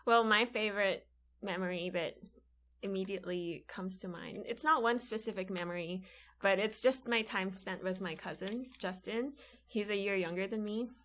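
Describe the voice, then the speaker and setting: A woman's voice: mid-range voice, normal speed, a bit bright. A woman from the Philippines co-hosts a casual podcast. She speaks earnestly and encourages her listeners. She is recording in a library studio. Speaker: podcast co-host.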